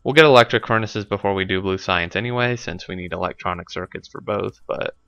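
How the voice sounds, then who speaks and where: A man with a congested, stuffy nose talks his way through a building game, thinking aloud and padding with fillers. His voice sits low, yet it comes across bright and smooth on a desk mic. The recording is dry but a little dull. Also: brisk, dry recording. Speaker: let's play host. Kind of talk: casual gameplay commentary. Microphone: desk microphone.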